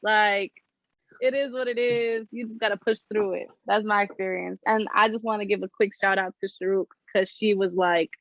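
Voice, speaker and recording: A woman's voice: medium pitch, steady tone, moderate pace, no echo, lo-fi sound. A young woman from New Jersey hosting a casual podcast chat, talking in a slangy, upbeat, joking way. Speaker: podcast host.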